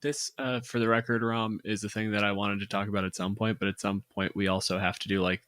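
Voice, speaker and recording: A man's voice: fairly low pitch, level tone, fast talker, a bit bright, no room sound, studio quality. A man co-hosting a podcast in casual, conversational discussion. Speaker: podcast co-host.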